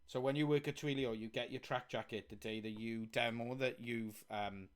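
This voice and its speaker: A Welsh man at a middling pitch streams a coding game. He chats loosely to himself as he works and reads the on-screen prompts aloud in a relaxed way. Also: moderate pace, clean tone. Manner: chatty, thinks aloud, reads on-screen text aloud. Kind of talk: casual live-stream commentary.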